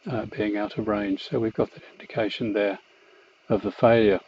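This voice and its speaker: A man explaining a technical software demonstration in a methodical, hesitant way, pausing as he goes. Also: fairly low pitch, flat delivery, slightly rough, no room sound. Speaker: company executive presenting a product tutorial. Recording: slightly dull, muffled lo-fi voice track.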